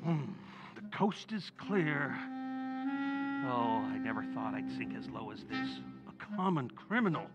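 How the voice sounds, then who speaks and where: Casting: male actor as bumbling middle-aged American dad for vintage radio comedy, high voice swooping anxiously, flustered pleading, slightly dull recording. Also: sing-song, a little slow, over loud music. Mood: furtive, gloomy. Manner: flustered, pleading, colloquial working-class talk. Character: bumbling, guilt-ridden middle-aged American husband and father. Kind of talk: old-time radio comedy acting.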